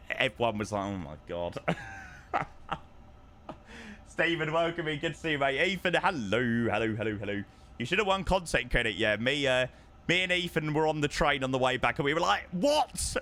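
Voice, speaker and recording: A young man chatting casually to his live-stream viewers, telling stories with lively, animated delivery and answering chat. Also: medium pitch. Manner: chatty, animated storytelling, reads chat aloud. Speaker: flight sim streamer.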